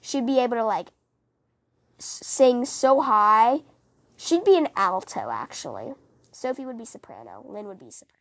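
A woman hosting a casual book-fan podcast, chatting in a rambling, animated, giggly way, her voice scratchy from a cold. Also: a little high, normal speed, gravelly, no echo. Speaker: podcast host.

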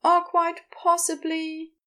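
A woman narrating scripted fiction in a measured, wry storytelling manner. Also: very high-pitched, monotone, sharp tone.

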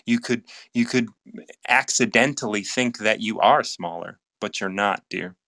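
A man's voice: on the low side, unhurried, bright, forceful tone, no room sound, studio quality. A man hosting a casual live chat, speaking earnestly with many repeated words and restarts. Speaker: host.

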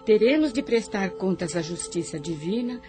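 An actress playing a solemn, preachy spiritual guide in a radio drama, measured. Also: female voice, medium pitch, animated, over music, close to an everyday voice. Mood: solemn, warning. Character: solemn spiritual guide, admonishing moral conscience. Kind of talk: Brazilian radio drama acting.

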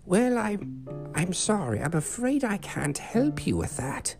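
A man voicing a kindly, fussy old witch woman in a quavering high voice. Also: big pitch swings, unhurried, vocal fry, full character voice. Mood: worried. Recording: guitar music behind.

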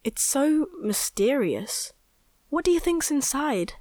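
This is a woman voicing a curious, plain-spoken young boy in a children's audio drama. The voice is fairly high and moves around expressively. The recording is dry and close. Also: very animated, slow pace, a little mellow, dry recording, full character voice. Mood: curious, supportive.

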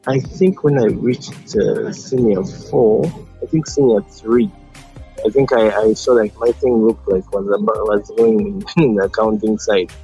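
A man in his forties, answering interview questions in a hesitant, casual way with many fillers and soft chuckles. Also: lowish voice, unhurried, vocal fry, soft background music, rough recording. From Uganda. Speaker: guest.

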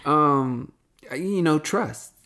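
A man's voice: a little high, expressive, extremely slow, vocal fry, crowd noise. Chatty male podcast co-host. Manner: chatty, self-correcting, enthusiastic. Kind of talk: casual conversational podcast.

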